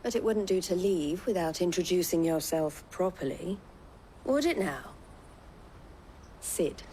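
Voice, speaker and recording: A low-voiced, wry caravan leader. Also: female voice, expressive, slight background noise. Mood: assured, persuasive. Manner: formal, measured, gently wry phrasing. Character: assured woman caravan leader, wry, shrewd older sister. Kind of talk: scripted fantasy game voice acting. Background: background music.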